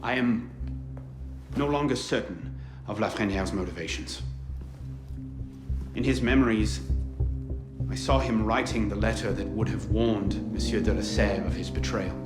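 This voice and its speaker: A man's voice: fairly low pitch, measured pace, long pauses, lightly acted. Young male assassin, actor role, game drama: determined, formal, defiant, roomy sound over music. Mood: contrite, earnest. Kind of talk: video game cutscene acting. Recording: roomy echo under loud music.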